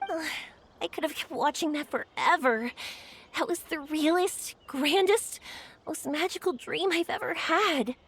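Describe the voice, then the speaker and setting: A woman voicing a spirited, adventurous and warm young woman in a video game, expressive and enthusiastic. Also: high voice, a little slow. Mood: dreamy delight.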